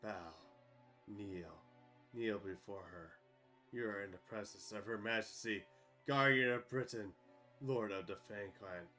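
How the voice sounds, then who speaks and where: A man voicing a solemn male royal herald who makes low, commanding proclamations, in a fan dub. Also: a little slow, pushed and bright, faint music behind.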